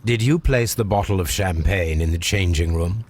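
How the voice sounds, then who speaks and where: An actor playing a composed, probing English detective in a video game, with a formal, measured, politely clipped manner. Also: male voice, low voice. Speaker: detective questioning suspects. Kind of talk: scripted video game acting.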